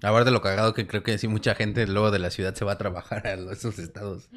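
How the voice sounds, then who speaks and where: A man's voice: on the low side, quick pace. A young man co-hosting a podcast, teasing his partner in casual banter. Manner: teasing, mocking, animated. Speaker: podcast co-host.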